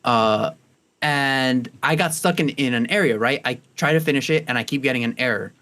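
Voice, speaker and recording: A man's voice: mid-range voice, normal speed, pushed and bright. A young man in his twenties co-hosting a podcast, chatting casually and rambling through stories with frequent restarts. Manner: rambling, chatty, laughs easily. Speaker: podcast co-host. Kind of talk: casual chat.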